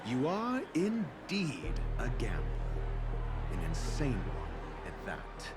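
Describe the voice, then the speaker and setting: An actor playing a stern, aloof doctor in a video game, speaking in a curt, disdainful, dry manner. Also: male voice, on the high side, huge pitch swings, slow, over music, exaggerated voice. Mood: aloof, exasperated. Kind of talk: video game character acting.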